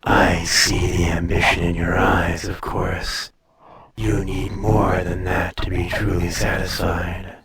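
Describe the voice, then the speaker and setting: A man playing an ancient, proud dragon in humanlike form in an intimate audio roleplay, speaking to the listener with haughty, teasing condescension and drawn-out phrasing. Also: deep voice, flat delivery, over a noisy crowd. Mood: knowing, smug.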